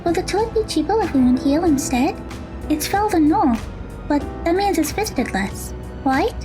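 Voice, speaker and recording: A woman playing a sweet, earnest, naive young girl in an audio drama, speaking gently. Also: very high voice, animated, normal speed, music in the background. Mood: curious.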